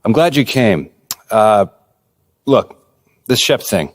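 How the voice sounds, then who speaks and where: A man playing an earnest, data-minded basketball executive in a video game, speaking in a measured corporate way. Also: on the low side, sing-song, no echo. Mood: friendly, frank. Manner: measured, businesslike, corporate jargon. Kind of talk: conversational video game cutscene acting.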